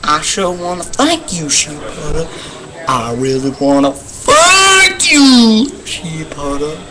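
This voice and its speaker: A man's voice: on the high side, sing-song, slow, heavy background noise. A man voicing a hungry, scheming cartoon wolf with a folksy drawl in an amateur fandub. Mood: sweetly sly.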